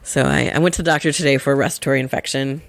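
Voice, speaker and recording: A woman co-hosting a casual podcast, her voice gravelly and lower from a respiratory infection, chatty and often correcting herself. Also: fairly even intonation, rapid-fire, no echo. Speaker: podcast co-host.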